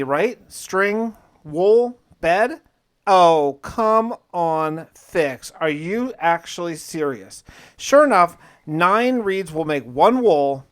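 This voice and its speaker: Higher-pitched man narrating a survival diary. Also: a bit bright, clean tone. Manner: conversational, musing aloud with rhetorical questions. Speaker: gaming video narrator and player.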